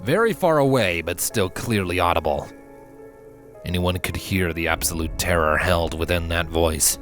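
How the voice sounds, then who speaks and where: A man reading a scripted fantasy story as narrator, in a measured, dramatic and solemn way. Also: deep voice, fairly bright tone, faint music behind.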